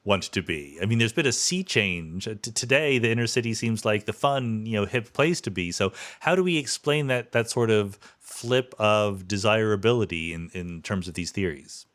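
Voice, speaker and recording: A man's voice: on the low side, animated, moderate pace, hardly any pauses, fairly bright tone. A man in his fifties from the US East Coast with an American accent, hosting a conversational interview and thinking aloud as he frames questions. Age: 50s. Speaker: podcast host.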